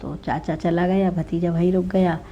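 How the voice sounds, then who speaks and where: A woman's voice: on the low side, level tone, normal speed, warm tone, slight background noise. A woman narrating a folk tale informally, in a conversational way that checks in with the listener. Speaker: storyteller. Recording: dull lo-fi recording.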